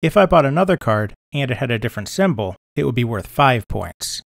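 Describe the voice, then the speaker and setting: A man giving scripted instructional narration in a clear, methodical, step-by-step manner, as a tutorial presenter. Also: mid-range voice, sing-song, fairly quick, dry recording, studio quality. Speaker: board game tutorial presenter.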